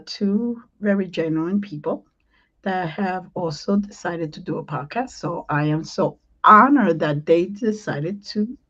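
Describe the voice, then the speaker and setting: A woman hosting a talk show with a hoarse, strained voice, chatty and pausing often with ums and throat clearing. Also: fairly low pitch, dull audio. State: hoarse, losing her voice. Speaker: host.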